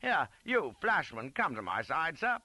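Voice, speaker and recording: A man voicing a fat old British cavalry general, loud, pompous, impetuous and scatterbrained, in an audiobook. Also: on the high side, big pitch swings, unhurried, no room sound, voice pushed up, exaggerated voice. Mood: blustering, eager. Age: older. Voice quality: loud, bawling. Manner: pompous, scatterbrained, clipped upper-class officer bluster. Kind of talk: acted character in an audiobook.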